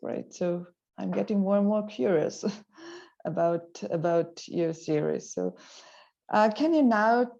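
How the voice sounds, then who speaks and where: A woman interviewer asking measured questions, hesitating with fillers. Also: mid-range voice, sing-song, creaky, warm tone. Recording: dull lo-fi sound.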